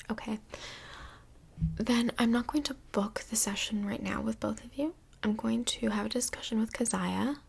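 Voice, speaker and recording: Woman as kindly, tactful female couples' counselor for ASMR role play: whispery, breathy, slightly high, hesitant and reassuring. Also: heavily acted. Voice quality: breathy, creaky soft voice.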